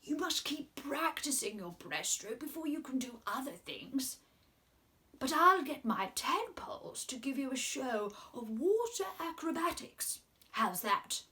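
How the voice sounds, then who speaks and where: A woman's voice: sits high, big pitch swings, a little slow, pushed and bright, full character voice. A woman reading a children's storybook, voicing a proud, self-important male frog who teaches swimming in a pompous, instructing manner. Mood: firm, instructing.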